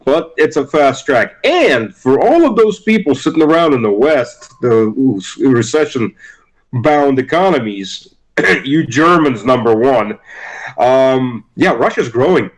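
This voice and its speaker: Halting middle-aged male guest. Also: mid-range voice, big pitch swings, poor audio. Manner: halting, with many restarts and "uh"s. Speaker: guest, former army officer. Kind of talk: casual interview chat.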